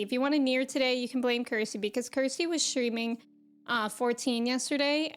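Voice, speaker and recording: A woman with a high voice hosts a casual game livestream. She talks informally and in a chatty, giggly way as she welcomes viewers. Faint music plays behind her. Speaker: live game streamer hosting.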